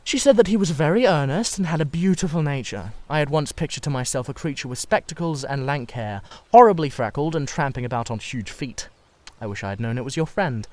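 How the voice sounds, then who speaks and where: A man's voice: a little high, expressive, a little fast, a little mellow, lightly acted. A man voicing a witty, cynical, self-satisfied Victorian aristocrat in a dramatised reading of a classic novel, with a theatrical manner. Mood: animated, amused.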